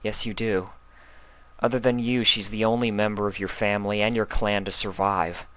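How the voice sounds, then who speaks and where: A man voicing a caring, anxious young female unicorn with a gentle, emotional, pleading manner. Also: on the low side, level tone, normal speed, pitched above the performer's own voice. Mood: apologetic. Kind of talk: acted fan fiction reading.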